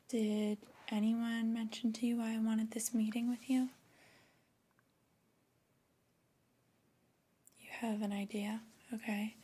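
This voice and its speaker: Woman acting as displeased female boss, soft ASMR roleplay, breathy, mellow, measured pauses, level intonation. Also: a little high. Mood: soft, probing. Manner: hesitant, measured, with drawn-out pauses.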